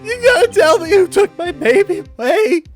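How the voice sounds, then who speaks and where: A performer voicing a panicked, melodramatic young male defendant in a video game, wailing and shouting in despair. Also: very high-pitched, very animated, moderate pace, soft background music, pitched above the performer's own voice. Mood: wailing grief.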